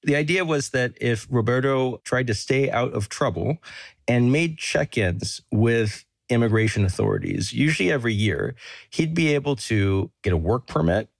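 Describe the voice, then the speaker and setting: A man working as a reporter, explaining a story in a measured, conversational news podcast style. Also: medium pitch, creaky, no room sound. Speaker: reporter telling an investigated story to a host.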